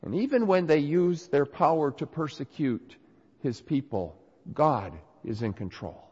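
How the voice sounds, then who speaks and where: A man preaching a sermon and reading scripture while getting over a cold, his voice a little nasal and raspy, in an earnest, emphatic manner. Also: fairly high pitch, lively intonation, measured pace, a little mellow. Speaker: pastor. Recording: dull recording with long hall reverb.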